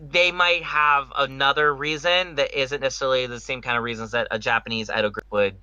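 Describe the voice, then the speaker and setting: A man's voice: mid-range voice, fairly even intonation, fairly quick, bright, forceful tone, smooth, no room sound, highs cut off. A man hosting a casual opinion podcast, speaking emphatically with rhetorical questions and repetition. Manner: emphatic, rhetorical, repeats himself. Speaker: podcast host. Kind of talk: opinionated casual panel discussion.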